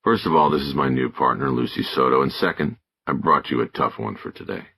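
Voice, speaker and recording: Guy doing a veteran cop in an audiobook, gravelly low voice, steady encouraging mentor type. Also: fairly quick, no room sound, exaggerated voice. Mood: businesslike. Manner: plain-spoken, firm. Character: seasoned male police detective, steady and encouraging mentor. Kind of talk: audiobook character dialogue.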